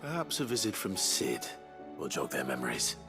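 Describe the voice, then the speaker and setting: A calm leader of outcasts in a fantasy game. His voice is very low and moves freely in pitch, with a dry, understated delivery. Music plays clearly behind him. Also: normal speed, exaggerated voice. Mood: wry. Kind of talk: video game voice acting.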